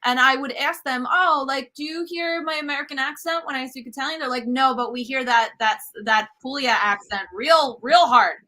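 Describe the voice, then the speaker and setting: Bright-voiced New woman telling stories. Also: high voice, normal speed, few pauses, a little hiss or hum. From New York, US. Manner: animated storytelling, many fillers and restarts. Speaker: guest, cooking show host. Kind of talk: casual video-call interview. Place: home room, indoors.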